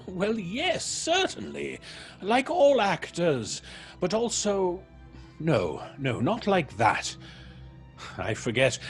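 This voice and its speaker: A man playing a troubled theatre actor in an audio horror drama, speaking haltingly with dramatic pauses. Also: mid-range voice. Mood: uneasy, evasive. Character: troubled theatre actor, haunted by a past tragedy.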